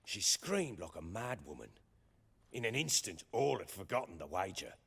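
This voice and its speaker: An actor playing a blustering, hearty baron in a dramatic video game, with a gravelly voice and emphatic rustic speech. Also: male voice, sits low, big pitch swings, normal speed, fairly bright tone, full character voice. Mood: grim, brooding. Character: blustering, hearty male baron, lord of the hall.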